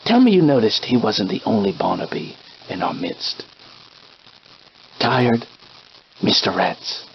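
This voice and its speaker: An actor playing a genteel, verbose shopkeeper with a villain's streak, in formal, archaic, theatrical game dialogue. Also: male voice, fairly low pitch, very animated, measured pace, vocal fry, muffled recording, slight background noise, heavily acted. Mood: smug, sinister. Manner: formal, archaic, florid wording. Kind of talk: theatrical period game acting.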